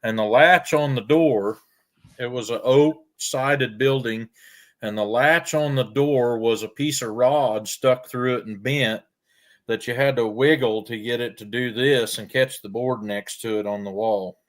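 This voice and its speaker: Older man telling stories. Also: medium pitch. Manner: folksy, unhurried, with frequent "uh" pauses. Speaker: storyteller guest. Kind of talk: casual storytelling.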